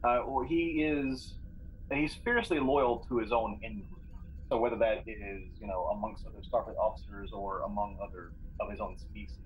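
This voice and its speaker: A man playing a tabletop game, chatting casually and thinking aloud in a halting way. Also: fairly low pitch, fairly even intonation. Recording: tinny phone-like line, background noise.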